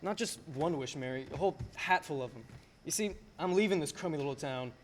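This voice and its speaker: A man's voice: a little high, brisk, slight background noise, close to an everyday voice. A young man acting an eager, teasing small-town dreamer in a radio play, boyish and stammering when flustered. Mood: eager, dreamy. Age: young adult. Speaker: student actor.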